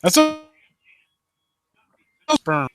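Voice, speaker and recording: Sarcastic man with a bright, forceful voice. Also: very high voice, sing-song, drawn-out words, dry recording, studio quality, soft background music. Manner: sarcastic, mocking, sweary. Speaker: host reading and critiquing horror stories live. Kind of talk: casual riffing commentary with read-aloud passages.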